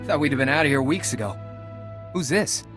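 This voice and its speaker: An actor playing a dutiful, guarded captured lieutenant in a video game, speaking in an earnest, hesitant military manner. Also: male voice, fairly high pitch, brisk, music in the background, natural voice. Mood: surprised.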